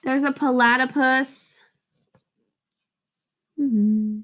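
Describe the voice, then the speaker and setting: High-voiced woman teaching young children, unhurried. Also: steady tone, fairly bright tone, muffled recording. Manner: simple explanatory phrasing with emphatic repeated words. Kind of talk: read-aloud lesson for young children, explaining a picture book.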